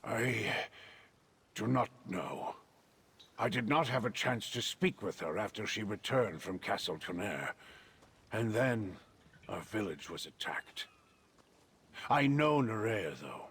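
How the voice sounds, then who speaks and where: A proud island druid with a very husky, low-pitched voice. He speaks slowly and solemnly, guarded toward outsiders, as a character in a fantasy video game. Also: animated, fairly bright tone, exaggerated voice. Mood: weary, sure. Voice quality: husky, rough-edged. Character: wary, proud male druid of an island circle, grave and guarded.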